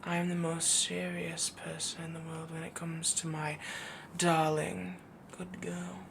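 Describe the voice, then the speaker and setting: A man playing a doting, possessive yandere werewolf boyfriend in an intimate roleplay audio, soft-spoken, whispery and adoring. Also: a little high, monotone, a little slow, creaky, noisy background. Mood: smug, possessive.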